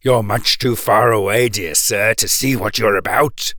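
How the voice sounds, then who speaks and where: Sly croc with a big grin, deep and slow, read by a man; dry, close recording. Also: bright, forceful tone, no room sound. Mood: coaxing. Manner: low, slow and coaxing. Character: sly grinning male crocodile, scheming. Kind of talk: storybook character voice.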